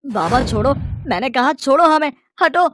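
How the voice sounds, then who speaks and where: A woman playing an earnest, protective young student son in an animated Hindi moral story. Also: sits high, normal speed, no echo, clean studio sound. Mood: alarmed. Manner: earnest, emphatic.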